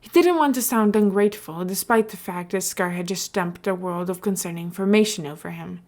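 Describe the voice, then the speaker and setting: A woman's voice: fairly low pitch, brisk, darkish tone. Woman reading fiction aloud. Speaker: podfic reader. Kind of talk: scripted fiction narration.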